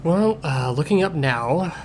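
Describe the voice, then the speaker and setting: A man's voice: a little high, lively intonation, a little slow. Grumpy cat medic for a cartoon fandub, played by a man: dry, sarcastic, blunt, over prominent music. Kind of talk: improvised-sounding voice-acted fan Q&A. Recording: music playing behind the voice.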